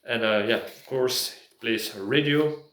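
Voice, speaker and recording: A man's voice: mid-range voice, unhurried, fairly bright tone, slight background noise. An English-speaking man gives a casual product review, hesitantly searching for words. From Belgium. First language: not English. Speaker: prepper video host. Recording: echoey room.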